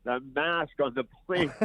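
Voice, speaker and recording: A man's voice: on the high side, slow pace, fairly bright tone, dry recording. A man guest-analyzing hockey on the show, stuffed up and nasal, rambling and restarting his phrases. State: stuffed-up nose. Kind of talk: casual sports talk over the phone. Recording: tinny, dry phone line.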